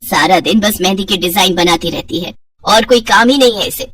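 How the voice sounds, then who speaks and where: A woman's voice: mid-range voice, a little fast, fairly bright tone, husky, recorded from a distance. A woman voicing an exasperated middle-aged mother who grumbles and nags in a Hindi cartoon story. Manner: grumbling, complaining.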